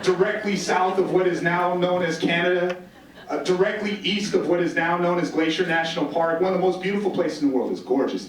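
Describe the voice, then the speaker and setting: A roomy, echoing recording of an American man giving a live talk, picked up from a distance. His voice sits quite high, and he speaks like an informal storyteller, with wry humour and repeated phrases for emphasis. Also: a little hiss or hum. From United States, Northern Plains. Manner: conversational, rhetorical repetition, wry humour. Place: indoor stage talk in a roomy venue. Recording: roomy echo, distant microphone.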